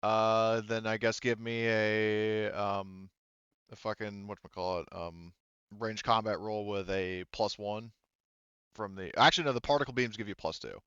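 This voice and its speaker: A man with a low, clear voice runs a tabletop roleplaying game. He talks informally and swears as he reasons through rules aloud. The sound is dry and a little dull, as if on a close lo-fi mic. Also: fairly even intonation, moderate pace, a bit bright, no echo. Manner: informal, profane, thinking aloud. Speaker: game master. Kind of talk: casual tabletop game narration and rules talk.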